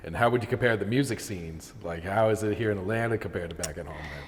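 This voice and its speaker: Quick-talking interviewer in a reverberant room. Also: sits low. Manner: relaxed, brief affirmations. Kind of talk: casual interview questions. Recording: long reverb, faint background noise.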